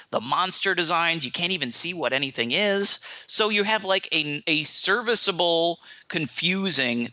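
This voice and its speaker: Male film critic, slightly high and very bright, forceful voice, emphatic casual discussion, close dry sound. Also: no room sound.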